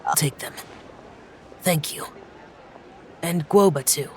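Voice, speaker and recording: A man's voice: fairly high pitch, takes it slowly, slight background noise. A man voicing a reserved, polite young man of few words in an anime-style video game. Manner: terse, subdued.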